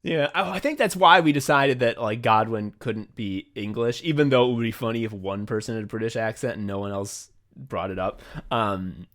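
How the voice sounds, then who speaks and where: An American man hosting a comedy podcast, talking casually in an animated, sweary way. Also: lowish voice, normal speed. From United States. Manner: animated, sweary, laughs readily. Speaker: podcast host.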